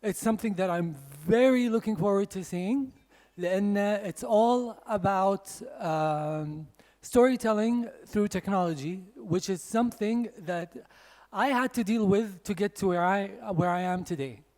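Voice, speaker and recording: A man with a high voice hosts the event and introduces the next speaker. He stumbles and restarts often, and the hall gives him a long echo. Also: a little mellow. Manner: halting, many restarts. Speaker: host. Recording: echoey hall.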